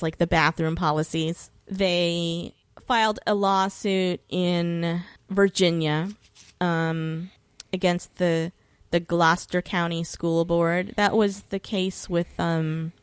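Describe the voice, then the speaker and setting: Woman podcast guest, kinda low voice, explains stuff casually n hesitantly, dry sound. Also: smooth, dry recording. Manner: informal, sweary, hesitant while recalling details. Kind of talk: casual conversational explanation of legal issues.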